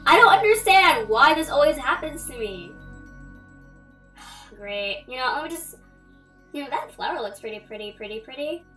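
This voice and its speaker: A woman voicing an excitable, sassy, bewildered anime schoolgirl in a dramatic parody dub. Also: sits high, normal speed, pauses often, far from the mic. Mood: lost, grumbling. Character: excitable foul-mouthed anime schoolgirl, bewildered and boy-crazy. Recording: roomy, shouted into mic, music behind.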